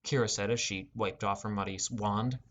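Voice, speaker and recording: A man's voice: lowish voice, steady tone, brisk, fairly bright tone. A man narrating a scripted first-person fantasy adventure as an audiobook.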